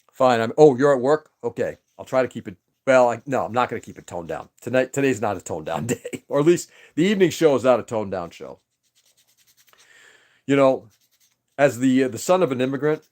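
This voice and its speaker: Man, first language Greek, casual livestream host, rambling chatty delivery, middle pitch. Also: a little mellow. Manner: rambling, chatty, greets viewers by name.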